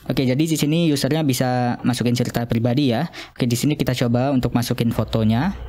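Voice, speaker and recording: A man's voice: medium pitch, fairly even intonation, quick pace, a little mellow. A young man giving an informal, slightly hesitant walkthrough of an app as a student presenter. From Indonesia. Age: young adult. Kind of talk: informal screen-demo walkthrough.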